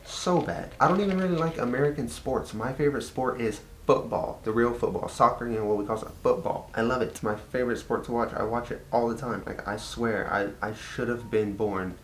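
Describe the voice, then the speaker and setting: A man's voice: brisk, few pauses. A young man from Texas with a Texan Southern accent, chatting casually in a personal vlog and filling his talk with "like" and repeats. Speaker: vlogger. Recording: echoey room with faint rumble.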